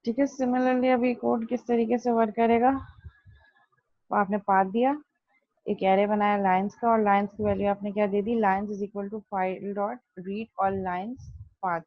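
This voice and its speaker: Woman teacher with creaky voice, dull recording. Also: mid-range voice, dry recording. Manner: didactic, step-by-step, poses and answers own questions. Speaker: programming teacher. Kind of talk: explanatory online coding lesson, Hindi-Urdu mixed with English terms.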